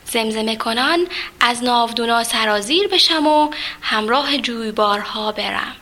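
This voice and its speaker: A gentle storybook cloud that longs to rain, musing softly to itself in a high, bright voice, as a woman reads it. Its tone is tender and wistful.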